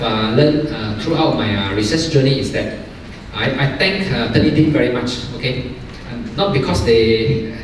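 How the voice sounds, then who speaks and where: Malaysian-raised man, second-language English, workshop lecturer full of "okay"s, distant mic in a big echoing hall. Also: medium pitch. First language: not English. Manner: frequent "okay" and "you know" fillers, coaching tone. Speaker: workshop speaker, journal editor. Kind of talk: academic workshop talk. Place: large university hall. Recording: echoey hall, distant mic, faint noise.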